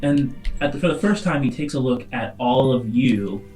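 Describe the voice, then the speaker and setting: A man's voice: mid-range voice, normal speed. Man narrating a tabletop game over music. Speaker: game master.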